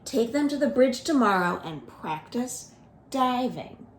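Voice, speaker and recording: A woman's voice: a little high, expressive, a little slow, slight background noise. A woman voicing a greedy, scheming bad crocodile in a storybook, emphatic and coaxing as it relishes its hunger. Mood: sly, commanding. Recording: roomy echo of a home room.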